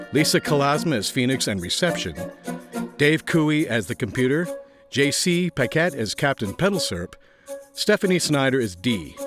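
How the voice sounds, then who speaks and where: A man reading scripted credits as an announcer. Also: medium pitch.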